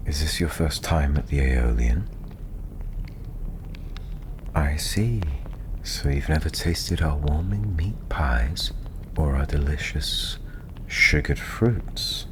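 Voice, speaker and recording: A man playing a welcoming fantasy tavern storyteller, speaking softly and soothingly in a gentle, half-whispered ASMR style over clear fireside ambience. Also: a bass voice, lively intonation, heavily acted. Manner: hushed, gentle, unhurried, often half-whispered. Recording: clearly audible ambient background noise.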